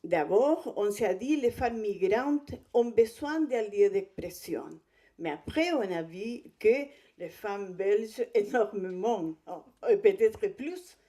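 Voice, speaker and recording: Female guest speaker, native Spanish with Chilean accent. Unhurried, soft-edged tone, hesitant phrasing, presenting at a conference. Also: medium pitch. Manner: hesitant, halting delivery with non-native phrasing. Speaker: guest speaker, visual artist.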